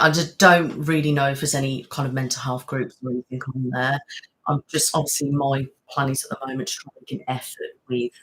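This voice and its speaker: A woman's voice: extremely low pitch, rough recording. A woman hosting a casual live-stream chat, rambling, laughing often and thanking viewers by name. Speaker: ASMR channel host.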